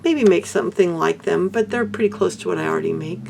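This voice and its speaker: An older woman from Texas, hoarse, chatting casually to camera in a rambling way about her crafts and life. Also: lowish voice, quick pace, darkish tone, a little hiss or hum. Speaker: beadwork vlogger.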